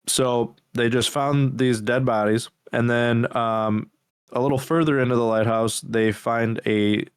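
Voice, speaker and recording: A man co-hosting a podcast, recapping horror videos in a halting, casual way with some swearing. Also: medium pitch, fairly even intonation, smooth, no room sound, clean studio sound. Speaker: podcast co-host.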